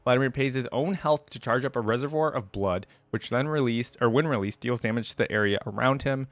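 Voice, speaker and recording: Casual low-voiced man, dry close sound. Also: a little fast, no echo. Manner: informal, chatty, thinks aloud. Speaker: host of a reaction video. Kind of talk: casual reaction commentary.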